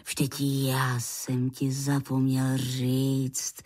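A woman voicing a kindly, nostalgic old grandfather creature in a storybook, speaking slowly and reflectively. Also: a bass voice, monotone, darkish tone, voice pushed down. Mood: earnest. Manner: slow, reflective, insistent.

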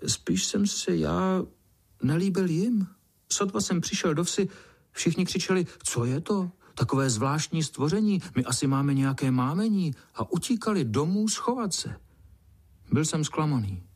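Small childlike ant for a bedtime tale, voiced by a man: naive, wide-eyed, high and slightly husky. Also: animated, darkish tone. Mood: disappointed. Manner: childlike, blurting and squeaking. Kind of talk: children's storybook character voice.

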